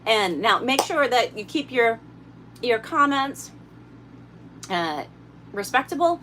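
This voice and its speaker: Woman, slightly hoarse, chatty livestream host, inside an RV, noisy recording. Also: fairly high pitch, big pitch swings, takes it slowly, a bit bright. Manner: chatty, thanks viewers by name. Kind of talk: casual livestream chat.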